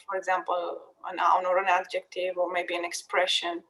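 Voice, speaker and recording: A woman explaining their work as a translator in a panel discussion, haltingly and with many pauses. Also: lowish voice, little pitch movement, a bit bright, slight background noise, poor audio. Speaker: poetry translator.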